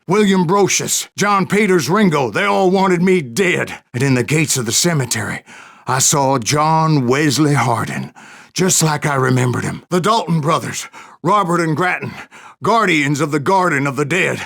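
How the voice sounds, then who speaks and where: A male actor playing a grizzled old Wild West bounty hunter in a video game, with a gravelly American voice and a folksy, wry way of telling his tales. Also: a little high, animated, normal speed, a bit bright, full character voice. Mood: dramatic. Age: older. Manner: folksy, wry storytelling drawl. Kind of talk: dramatic first-person game narration.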